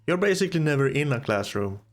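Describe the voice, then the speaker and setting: A man's voice: a little high, sing-song, fast. A Swedish man with a Swedish accent commenting casually on a game he plays, with dry, wry remarks. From Sweden. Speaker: let's player. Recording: voice over game with faint music.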